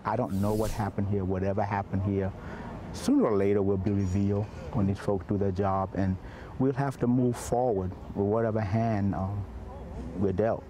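A man, a pastor, speaking slowly and resignedly in an outdoor news interview clip. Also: on the low side, steady tone, warm tone, a little hiss or hum. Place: outdoors beside a church.

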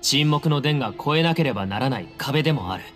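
A man's voice: mid-range voice, expressive, fast talker, a bit bright, natural voice. An actor playing a guarded, self-assured young male temple heir in a Japanese anime game, speaking in a cool, firm, composed manner. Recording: reverberant hall, music underneath.

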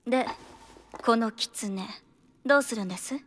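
A woman's voice: fairly high pitch, measured pace, a bit bright, a little hiss or hum. A woman playing a breezy, worldly young former courtesan in a radio drama, casual, frank and teasing. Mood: businesslike.

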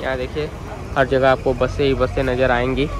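A man's voice: medium pitch, little pitch movement, fairly quick. A young man chatting casually to viewers as a travel vlogger, filming himself with a phone at arm's length outdoors at night. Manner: chatty, addresses viewers as brother and guys. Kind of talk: casual travel vlog narration. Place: outdoors at night on a roadside and in a bus. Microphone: phone held at arm's length. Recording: loud street traffic noise. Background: loud traffic and vehicle noise.

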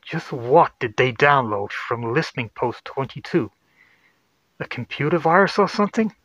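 A man playing a tense, hot-headed spaceship crewman, snappy and forceful, in a sci-fi horror audio story. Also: medium pitch, lively intonation, normal speed, dry recording, muffled recording. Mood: alarmed, snapping.

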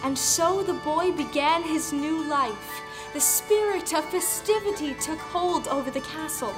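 A woman's voice: sits high, measured pace, poor audio. A woman delivering scripted narration in a folktale audio drama. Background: music.

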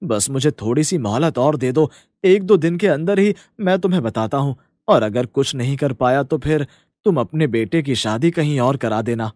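A man's voice: mid-range voice, expressive, normal speed, a little mellow, no echo, studio quality, lightly acted. Man voicing a humble, troubled village father. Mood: apologetic, troubled. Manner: apologetic, weary.

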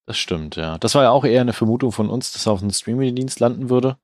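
A man co-hosting a casual film news podcast, speaking in a relaxed, affirming way and recommending titles. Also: medium pitch, fast, no echo, clean studio sound. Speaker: podcast co-host.

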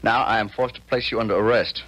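An actor playing a formal, stern, lovelorn Confederate colonel in an emphatic radio drama style. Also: male voice, lowish voice, steady tone, fast talker, a bit bright, rough recording. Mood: pained. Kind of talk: 1970s radio drama acting.

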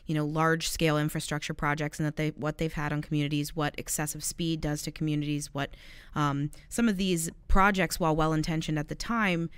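A young woman with a smooth, clear and slightly low voice co-hosts a local government talk show. She speaks earnestly and conversationally, and the sound is dry and close. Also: dry recording. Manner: earnest, articulate, conversational with occasional restarts. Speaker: city communications coordinator, co-host. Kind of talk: casual policy discussion.

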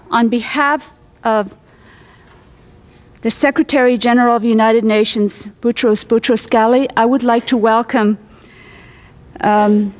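A middle-aged woman making a formal introduction as master of ceremonies. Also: on the high side. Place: hall, at a lectern. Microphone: stand microphone, close. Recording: some audience and background noise.

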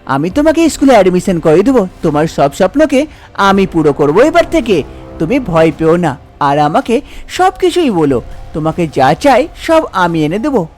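A woman's voice: fairly low pitch, very animated, normal speed, darkish tone, faint music behind. A woman voicing a kindly older husband in a cartoon story, soothing and encouraging in manner. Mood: reassuring. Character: kindly older husband, gentle, reassuring, devoted man. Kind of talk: cartoon story character acting.

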